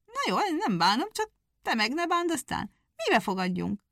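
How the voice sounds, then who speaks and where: A haughty, boastful male hare with a high, scornful voice that leaps up and down, as a woman puts him on. The voice is dry and close, with little room sound. It is a storybook animal full of sneering pride. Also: very animated, a bit bright, no echo, heavily acted. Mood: mocking. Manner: high mocking laughing tone.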